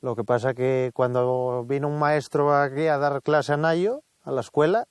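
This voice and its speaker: Villager giving short, plain answers. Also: male voice, mid-range voice, normal speed, smooth, no echo, clean studio sound. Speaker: local villager. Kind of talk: casual chat. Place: outdoors on a village hilltop.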